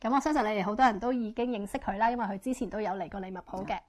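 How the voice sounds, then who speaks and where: A woman interprets a church testimony sentence by sentence, speaking quickly and fluently with frequent fillers. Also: mid-range voice, darkish tone. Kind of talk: consecutive interpretation.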